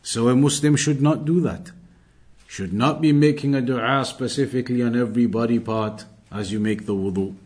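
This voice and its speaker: A man giving an Islamic lesson, reading out Arabic passages and then explaining them in English in a deliberate, repetitive way. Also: medium pitch, a little mellow. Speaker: Islamic studies teacher reading and explaining a text.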